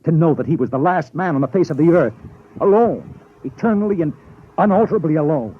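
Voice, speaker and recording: A man acting in a 1950s radio drama as a compassionate, authoritative captain, earnest and deliberate, on a muffled old recording. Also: a little high, animated, husky. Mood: impassioned. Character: compassionate, authoritative male spaceship captain, grave and understanding.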